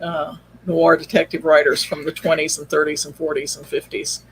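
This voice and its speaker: A woman's voice: low-pitched, flat delivery, normal speed, poor audio. A woman chatting casually as a podcast guest, explaining things in an informal, hesitant way. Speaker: podcast guest, audio drama creator. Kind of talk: casual interview chat. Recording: faint background noise.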